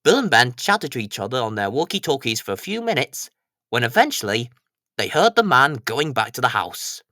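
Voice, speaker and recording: Male children's storyteller, scripted narration, very animated intonation, close dry sound. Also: mid-range voice, normal speed, dry recording.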